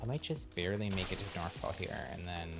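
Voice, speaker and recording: A man's voice: low voice, lively intonation, quick pace, vocal fry. A man chatting casually and in a friendly, welcoming way to viewers while streaming a game. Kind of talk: casual live gaming chat and commentary. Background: game music.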